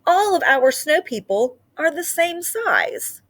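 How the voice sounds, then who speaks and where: A woman's voice: very high voice, big pitch swings, takes it slowly, fairly bright tone, no room sound, pitched above the performer's own voice, exaggerated voice. A woman voicing a stubborn, competitive young field mouse in a storybook. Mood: defiant.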